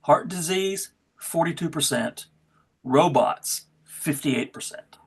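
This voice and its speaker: Table-read host and narrator: middle pitch, casual presenter who also reads stage directions. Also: expressive, slow pace, slightly rough. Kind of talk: casual hosting and scripted narration.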